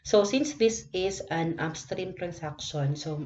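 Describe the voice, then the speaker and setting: A woman's voice: on the low side, measured pace, a little mellow. A woman with a Filipino accent teaching as a lecturer, explaining worked problems step by step and often checking in with short tag words. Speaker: accounting lecturer. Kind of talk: recorded lecture working through problems. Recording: dull lo-fi voice recording.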